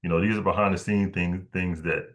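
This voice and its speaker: Low-voiced, halting male podcast host. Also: fairly even intonation. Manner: halting, meandering, often repeats words. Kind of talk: casual conversational interview.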